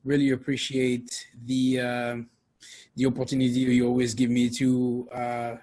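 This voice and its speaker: A man's voice: medium pitch, monotone, unhurried, dark tone. A middle-aged man, a guest talking about his life in a casual interview, hesitant with many fillers. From France.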